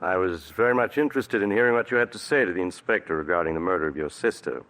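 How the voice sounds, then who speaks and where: A man's voice: low-pitched, a bit bright. An actor playing a shrewd male doctor exposing a murderer in a 1940s radio drama. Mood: probing. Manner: measured, probing, accusing. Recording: old radio broadcast recording.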